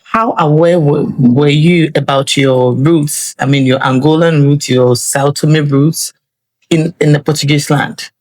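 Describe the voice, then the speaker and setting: A woman hosting a podcast interview, asking gentle questions and listening attentively with short murmurs. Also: sits low, steady tone, a little slow, dry recording. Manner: attentive, with brief murmured acknowledgments. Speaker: podcast host.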